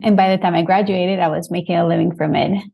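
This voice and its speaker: A woman's voice: on the low side, fairly even intonation, quick pace, gravelly, muffled recording. A woman from Costa Rica, a sexologist, explaining her work casually and upbeat in an interview. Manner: upbeat, laughs easily, explains plainly. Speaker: sexologist guest.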